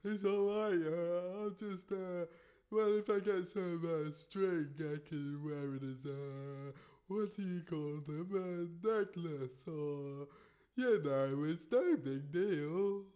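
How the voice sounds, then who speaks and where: A man's voice: sits high, slow, mellow. A man playing an awkward, earnest worm groom in a comic puppet musical, speaking in a hesitant, fumbling way. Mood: flustered, reassuring.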